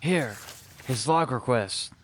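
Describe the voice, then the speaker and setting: A man's voice: mid-range voice, lively intonation, slow pace, slight background noise, voice pushed up. A man playing a nervous, furtive night-shift records clerk in a noir audio drama, talking in urgent, clipped phrases. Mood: nervous, secretive.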